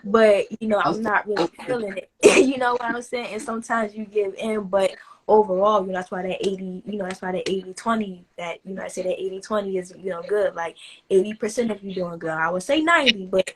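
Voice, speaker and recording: A woman's voice: mid-range voice, fairly quick, poor audio. Chatty woman coach, loose and rambling. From not from the Caribbean. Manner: relaxed, loose and chatty, full of "you know" fillers. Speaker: fitness coach hosting a live stream. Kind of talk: casual live-stream chat.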